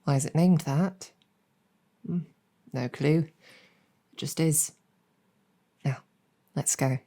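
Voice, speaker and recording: Female demon general, acted by a woman: deep-voiced, mellow, gracious and wry, soft-spoken ASMR roleplay. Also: slow pace, heavily acted. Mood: inviting, earnest. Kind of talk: improvised ASMR roleplay storytelling.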